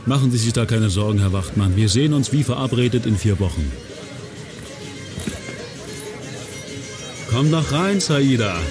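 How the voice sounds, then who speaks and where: A man's voice: medium pitch, animated, brisk, close to an everyday voice. An actor as a small-time club owner and hustler in an audio drama. His mid-range voice moves up and down with animation. He is affable and easygoing, over faint background music. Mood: reassuring. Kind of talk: fan audio drama acting.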